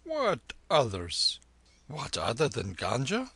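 A man playing a slow, weary old holy man. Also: medium pitch, wildly swooping pitch, vocal fry, pushed and bright, husky, no echo, voice pushed up, heavily acted.

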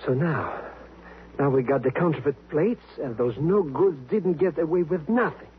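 An actor playing an eager, slangy comic sidekick with bad grammar in a 1950s radio show, on an old broadcast. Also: male voice, a little high, moderate pace, narrow, tinny audio, a little hiss or hum, close to an everyday voice. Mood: excited, admiring. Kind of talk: 1950s radio comedy acting.

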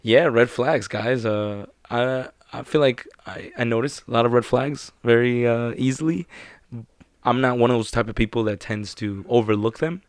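A man's voice: mid-range voice, fairly even intonation, normal speed, no echo, studio quality. A man introducing a casual podcast episode, rambling and joking with many fillers. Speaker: podcast co-host.

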